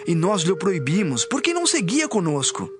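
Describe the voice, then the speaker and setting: A man's voice: high voice, wildly swooping pitch, fairly quick, over music. A man playing an earnest, deferential disciple in a dramatized scripture audio. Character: earnest male disciple addressing his master.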